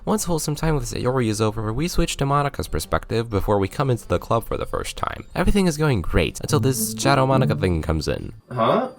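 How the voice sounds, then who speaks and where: A man's voice: mid-range voice, big pitch swings, quick pace, hardly any pauses. A man narrating a scripted video game review, with sarcastic, joking asides.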